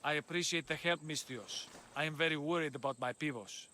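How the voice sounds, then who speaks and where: An anxious, courteous Greek-world scholar in a video game. The voice is bright and a little high, earnest and polite, with faint insect ambience behind it. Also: male voice, a little fast, slight background noise. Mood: worried, courteous. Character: worried, courteous male scholar of ancient Greece. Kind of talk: video game cutscene acting.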